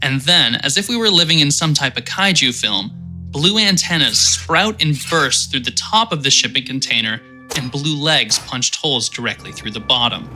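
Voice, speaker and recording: A man's voice: medium pitch, lively intonation, moderate pace, bright, forceful tone, soft background music. A man narrating an audio drama in vivid storytelling style with comic asides.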